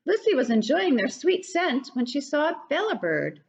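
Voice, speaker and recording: An older woman reading a picture book aloud to young children in an animated, child-directed way. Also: high-pitched, moderate pace. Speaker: children's story reader. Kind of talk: read-aloud picture book narration for preschoolers. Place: living room at home. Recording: dull, lo-fi webcam sound.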